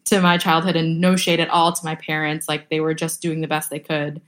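Low-voiced chatty young woman. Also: completely flat delivery, brisk, fairly bright tone. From Boston area, USA. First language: Vietnamese. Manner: upbeat, chatty, many "like" fillers. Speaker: guest, food brand co-founder. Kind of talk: casual interview conversation.

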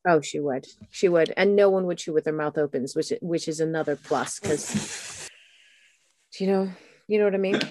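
Lower-voiced American woman, chatty. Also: normal speed. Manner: animated, chatty storyteller. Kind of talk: casual chat and reading a book aloud.

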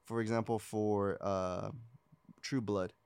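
A man co-hosting a podcast, talking casually in halting, tentative phrases. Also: on the low side, steady tone, creaky, darkish tone, clear, resonant tone, dry recording. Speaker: podcast co-host. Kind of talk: casual chat.

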